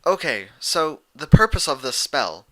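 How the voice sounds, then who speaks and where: A man's voice: fairly high pitch, big pitch swings, unhurried, sharp tone, voice pushed up, exaggerated voice, rough recording. Man voicing a fussy, bookish girl unicorn. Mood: awkward, businesslike. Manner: hesitant, filler-laden explaining. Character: bookish, fussy young female unicorn pony.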